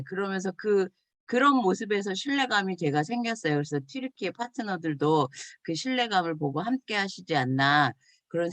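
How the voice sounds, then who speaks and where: A woman's voice: fairly low pitch, dry recording. A woman hosting a video call, introducing the next speaker in a friendly, chatty way. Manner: friendly, chatty, laughs easily. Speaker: meeting host introducing a guest.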